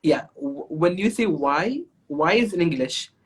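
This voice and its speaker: Husky male host. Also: on the high side, animated, a little slow. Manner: upbeat, guides the segments. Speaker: talk show host. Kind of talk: hosting an interview with games.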